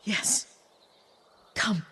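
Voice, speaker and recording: A woman playing an elderly, devoted servant and herbalist in a video game, speaking softly and breathily with a gentle, deferential, motherly manner. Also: very slow. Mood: shaken, subdued. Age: older. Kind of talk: cinematic video game acting. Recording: music behind.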